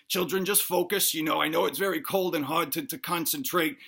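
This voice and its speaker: Casting: woman as nervous male dinosaur teacher, halting reassurance, bright voice, comic storytelling. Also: low-pitched, vocal fry, breathy edge, full character voice. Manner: stammering, halting. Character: flustered, falsely reassuring dinosaur schoolteacher Mister, male.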